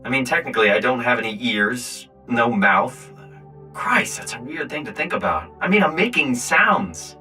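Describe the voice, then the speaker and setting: A man's voice: mid-range voice, animated, moderate pace, pushed and bright, faint music behind. An actor playing a bewildered, earnest everyman in a video game, with a questioning, hesitant manner. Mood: troubled. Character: bewildered, earnest everyman whose mind is in a machine body.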